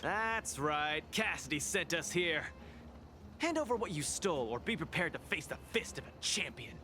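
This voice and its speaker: A man's voice: on the high side, big pitch swings, normal speed, background noise. An actor playing a cheerful, boastful young male boxing champion in a video game, showy and upbeat. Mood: fired up. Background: game music.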